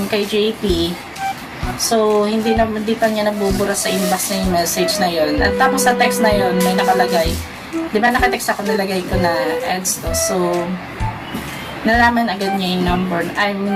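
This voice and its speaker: A woman with a Filipino accent chatting casually as a prank call vlogger. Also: mid-range voice. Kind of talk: casual vlog chat and phone calls. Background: loud music.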